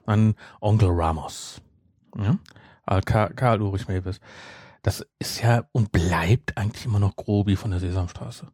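A man's voice: lowish voice, a little slow, darkish tone, slightly rough, dry recording, clean studio sound. A middle-aged man in casual podcast conversation, making his points emphatically and repeating them for stress. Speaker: podcast host. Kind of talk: casual chat.

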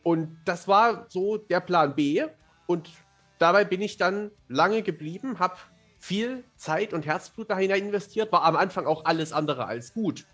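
Male interview guest, high-pitched and husky, wry and self-mocking, relaxed colloquial phrasing. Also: measured pace, faint music behind. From Germany. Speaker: fantasy author, podcast guest. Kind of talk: casual interview chat.

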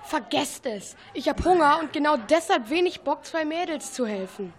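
A woman's voice: high-pitched, lively intonation, moderate pace. A female-sounding young performer playing a sulky, bullied schoolboy nerd in a German radio play. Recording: faint schoolyard crowd behind.

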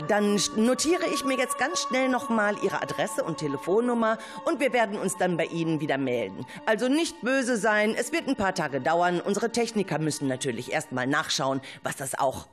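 A woman's voice: on the low side, expressive, moderate pace, hardly any pauses, faint music behind, natural voice. A young schoolgirl playing a polite, soothing town hall clerk in an amateur radio play. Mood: polite, placating. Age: child. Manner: soothing, officious.

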